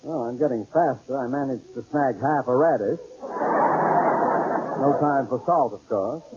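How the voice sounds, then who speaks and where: An actor playing a genial, blustery older boss in an old radio sitcom, jovial and expressive. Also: male voice, medium pitch, unhurried, close to an everyday voice. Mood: wry, put-upon. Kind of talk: 1940s-50s radio sitcom acting. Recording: muffled, hissy old radio recording.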